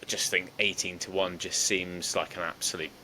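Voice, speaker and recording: A man co-hosting a podcast, chatting in a relaxed, rambling way about his racing picks, with lots of ums. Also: sits low, normal speed, slight background noise, low-quality recording. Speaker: podcast co-host. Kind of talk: casual horse racing tipping chat.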